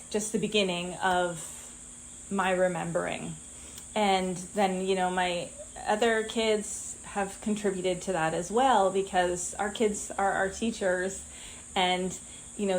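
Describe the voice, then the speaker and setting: A woman in her fifties teaching a live online masterclass, talking conversationally and turning lively when she acts out what people say. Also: mid-range voice, a little hiss or hum, poor audio. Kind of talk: live online class talk.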